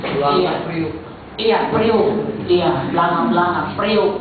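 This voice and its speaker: A woman's voice: on the low side, moderate pace. A middle-aged woman from Central Sulawesi with a Sulawesi accent, chair of a church council, giving a conversational testimony to a congregation. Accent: Sulawesi Indonesian. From Central Sulawesi, Indonesia. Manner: conversational, addressing the congregation directly. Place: church hall. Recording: echoey church hall, distant mic, phone-like band, faint noise.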